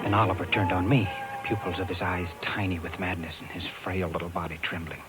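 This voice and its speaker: Man acting haunted agent, low voice, grave dramatic delivery, music underneath, vintage radio thriller. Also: flat delivery, fast talker, close to an everyday voice, low-quality recording. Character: shaken male talent agent recounting a horror. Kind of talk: 1950s radio thriller first-person narration. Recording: vintage 1950s radio recording.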